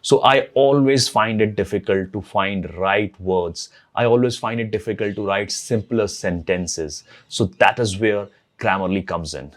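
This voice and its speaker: Male host, non-native English speaker, low voice, earnest and repetitive delivery. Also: a little slow. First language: not English. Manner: earnest, repeats phrases as he thinks aloud. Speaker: blogging channel host. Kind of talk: casual tutorial talk to camera.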